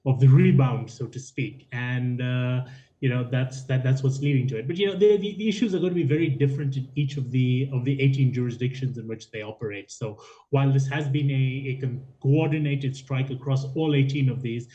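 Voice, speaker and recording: A man speaking as an expert guest on the news, explaining off the cuff in a measured, hesitant way with repeated words. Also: medium pitch, mellow. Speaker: aviation consultant, interview guest. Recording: dull, lo-fi remote link.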